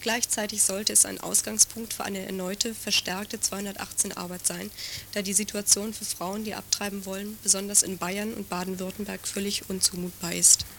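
Woman reading a report in a flat tone. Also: medium pitch, moderate pace, vocal fry, a little hiss or hum. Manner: even, read-aloud delivery. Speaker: activist group member.

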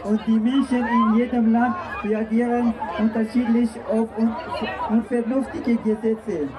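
Flat-toned woman, distant in crowd noise. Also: on the high side, unhurried.

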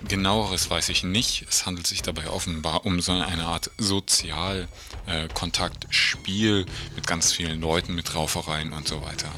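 A man co-presenting radio event announcements off the cuff, in a hesitant, halting way. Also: sits low, fairly even intonation, pushed and bright, a little hiss or hum. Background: music.

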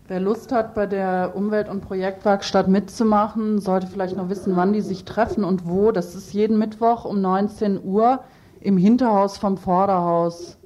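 A woman's voice: medium pitch, fairly even intonation, normal speed, dark tone. A woman speaking in a radio discussion, giving opinions and information.

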